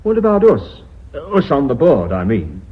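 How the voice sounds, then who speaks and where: Excitable, sardonic board member, swooping pitch. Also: male voice, moderate pace. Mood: shocked, resentful. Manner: agitated, sarcastic. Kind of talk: radio drama acting. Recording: dull, muffled radio recording.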